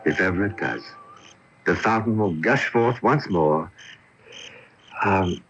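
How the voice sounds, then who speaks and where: An actor playing a courtly, melancholy drama coach in a radio drama, speaking eloquently in theatrical, old-fashioned phrases. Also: male voice, low-pitched, lively intonation, takes it slowly, a bit bright, slightly rough, heavily acted. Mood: solemn, intense. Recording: dull lo-fi off-air radio tape.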